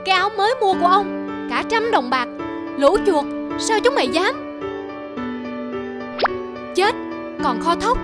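A middle-aged cartoon rice merchant, greedy and quick to anger. The voice sits high and is full of grumbles and exclamations. Music plays prominently behind it. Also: female voice. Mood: outraged, dismayed. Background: children's music.

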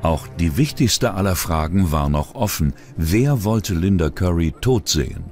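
A man narrates a true-crime documentary in a low voice. His delivery is dramatic and suspenseful, with a lively swing in pitch, over faint music. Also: a little slow.

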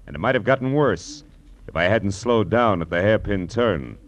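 An actor playing a terse, sardonic American private detective in an old radio crime drama. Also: male voice, lowish voice, expressive, normal speed, heavy background noise, close to an everyday voice. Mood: grim, intense. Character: hard-boiled, wisecracking private detective.

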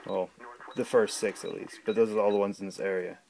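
A man giving a casual, unscripted gadget demonstration at home, talking in a halting, rambling way. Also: on the low side, fairly even intonation, a little mellow. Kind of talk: casual unscripted product demo. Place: small room at home. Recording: clear background noise with clicks.

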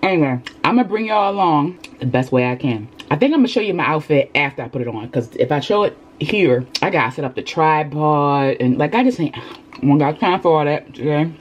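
A woman's voice: low voice, expressive, brisk, lo-fi sound, slight background noise. A middle-aged woman vlogger chatting casually and fussing as she gets ready, filmed at close range in a home studio. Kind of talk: casual vlog chat. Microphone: camera at close range.

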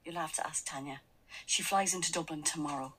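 Teasing, practical coworker. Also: female voice, deep voice, brisk, sharp tone, a little hiss or hum. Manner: teasing, matter-of-fact. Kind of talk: radio drama acting.

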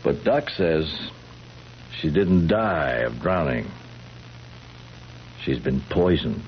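A calm small-town sheriff with an extremely deep voice. He is deliberate and folksy, probing without fuss. It sounds like a muffled vintage radio mystery with faint background noise. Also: heavily acted. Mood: grave, matter-of-fact. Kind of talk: 1970s radio drama acting. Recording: muffled, noisy 1970s radio recording.

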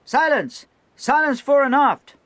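A man voicing a young, anxious naval lieutenant who barks shrill, clipped orders. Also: a little fast, a bit bright, no room sound. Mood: shrill commanding.

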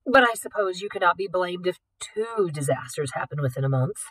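A woman voicing a dismissive older man posing as wealthy, formal and measured, in an audiobook. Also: on the low side, animated, a bit bright, slightly rough, dry recording, rough recording. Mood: dismissive, composed.

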